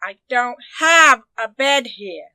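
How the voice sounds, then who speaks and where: Woman as a high-voiced, hesitant little boy. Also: animated, slow, pushed and bright, smooth, no echo, full character voice. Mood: meek, plaintive. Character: small polite boy, hesitant at bedtime. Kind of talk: children's storybook character voice.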